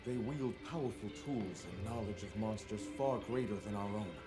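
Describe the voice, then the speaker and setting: An actor playing an earnest, grateful villager who speaks formally and emphatically in a video game. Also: male voice, fairly low pitch, normal speed, lightly acted. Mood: earnest pleading. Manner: formal, pleading, emphatic speech. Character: earnest, grateful village man, awed by hunters. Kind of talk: video game cutscene acting. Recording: loud music behind.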